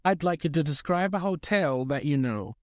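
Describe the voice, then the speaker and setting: A man with a slightly raised pitch conducts a spoken exam. He delivers scripted questions and instructions in a formal, orderly way, recorded dry. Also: expressive, normal speed, no room sound. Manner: formal, clearly structured instructions. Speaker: oral English exam examiner.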